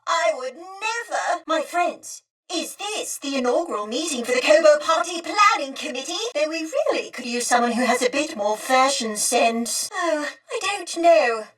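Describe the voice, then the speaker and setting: Video game droid: high-pitched, genteel and talkative, centuries-old lady with theatrical, polite, wistful delivery. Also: expressive, moderate pace, hardly any pauses, fairly bright tone, far from the mic. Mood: nostalgic, chatty. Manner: prim, courteous, wistful, theatrical phrasing. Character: genteel, chatty female protocol droid, two centuries old. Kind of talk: scripted video game character acting.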